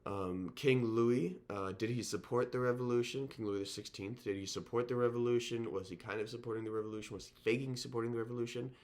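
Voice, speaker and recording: A teacher with a sore throat and a slightly nasal voice, giving a recorded lecture in an informal way, with frequent ums and restarts. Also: male voice, medium pitch, steady tone, fast talker, few pauses. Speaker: history teacher.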